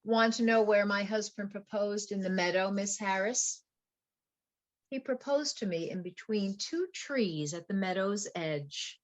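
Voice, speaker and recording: A woman's voice: medium pitch, measured pace, lightly acted, rough recording. A woman playing a betrayed wife turned coolly vengeful poisoner in a dramatic stage play reading. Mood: quietly menacing. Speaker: actor in a play workshop.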